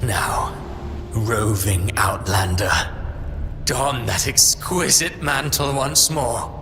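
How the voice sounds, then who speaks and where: A man's voice: medium pitch, expressive, slow pace. A man playing a cold, fatalistic villain in a video game, delivering portentous, theatrical taunts. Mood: ominous calm. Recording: voice over clear background music.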